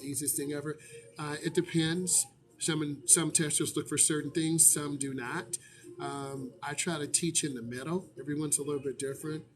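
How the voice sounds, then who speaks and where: A man's voice: fairly high pitch, slight background noise. A man, a driving instructor, casually answering viewer questions in a live stream, chatty with frequent fillers.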